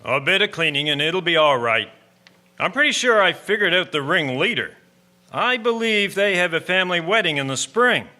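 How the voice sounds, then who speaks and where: An actor playing a proud, steady older husband and wedding host in a historical audio drama. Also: male voice, high-pitched, normal speed, exaggerated voice. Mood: reassuring, wry. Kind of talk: historical radio drama acting.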